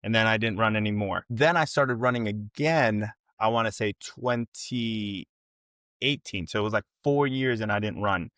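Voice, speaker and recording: A man's voice: on the low side, moderate pace, a bit bright, no echo, studio quality. A young man from Ecuador and North Carolina talking casually and directly to viewers as he hosts a solo livestream. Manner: informal, addresses viewers directly with frequent check-ins. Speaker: content creator hosting a livestream. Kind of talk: casual livestream monologue to camera.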